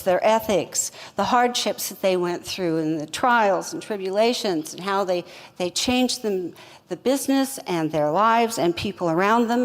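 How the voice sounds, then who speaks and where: An older woman, a company co-founder, telling her business story in an interview at a measured pace with many fillers. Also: medium pitch, in a large hall. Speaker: co-founder guest.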